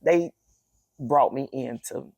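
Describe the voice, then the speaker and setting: A middle-aged woman with a deep, raspy voice, telling personal stories candidly in a casual interview as a guest. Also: takes it slowly, dark tone, no echo.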